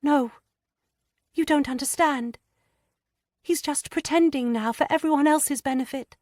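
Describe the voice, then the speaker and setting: A woman's voice: unhurried, a little mellow, no room sound, studio quality. A woman voicing Lydia, a tearful young wife. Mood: distressed. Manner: pleading, stammering, high and breathless. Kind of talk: audiobook character voice.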